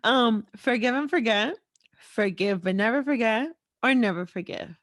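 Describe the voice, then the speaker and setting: A woman's voice: a little high, animated, normal speed, no echo. Frank, playful woman hosting, in a dry, close sound. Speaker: podcast host. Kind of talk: casual chat.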